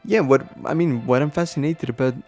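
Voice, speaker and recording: A man whose first language is Spanish, explaining things casually and haltingly as a podcast host, thinking aloud as he goes. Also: medium pitch, a little mellow, soft background music. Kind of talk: casual unscripted explaining to listeners.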